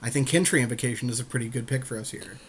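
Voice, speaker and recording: A man's voice: mid-range voice, rapid-fire, a crowd in the background. A man co-hosting a podcast, chatting casually and colloquially as he reasons through a card game draft. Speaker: podcast co-host.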